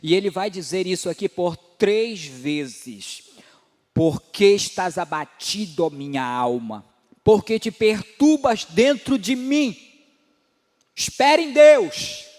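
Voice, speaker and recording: A man in his forties preaching a sermon as pastor, building to emphatic rises and dropping low again. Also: animated, takes it slowly, darkish tone. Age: 40s. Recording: long hall reverb.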